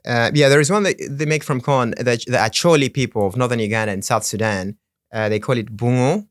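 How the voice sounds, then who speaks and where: A man's voice: medium pitch, brisk, no echo, clean studio sound. A man from the Congo whose first language is Swahili, talking earnestly as a podcast guest, often laughing and restarting words. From Democratic Republic of the Congo. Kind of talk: casual interview chat.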